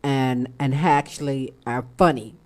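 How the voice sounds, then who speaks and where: Host, relaxed and slow, laughs easily, short reactions. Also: medium pitch. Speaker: radio show host. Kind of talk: casual interview chat.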